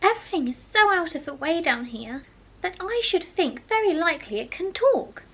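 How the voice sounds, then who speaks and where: A woman's voice: extremely high pitch, sing-song, moderate pace, a bit bright, lo-fi sound, voice pushed up, heavily acted. A woman voicing a polite, curious young girl in a storybook reading, chattering on eagerly.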